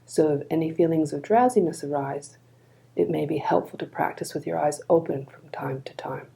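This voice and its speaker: A woman leading a guided body scan meditation in a calm, gentle, unhurried manner. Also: sits low, vocal fry.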